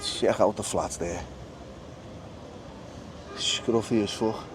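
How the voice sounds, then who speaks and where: A man's voice: fairly low pitch, fairly even intonation, takes it slowly, background noise. A man walking city streets for a vlog, muttering casual asides and swearing as he goes. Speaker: street vlogger.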